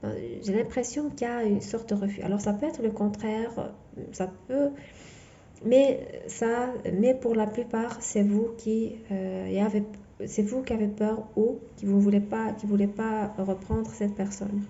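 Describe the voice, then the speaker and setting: Gravelly, dark-voiced woman tarot reader. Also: mid-range voice, fairly even intonation, lo-fi sound. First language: not French. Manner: halting, many fillers and restarts. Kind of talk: tarot reading to camera.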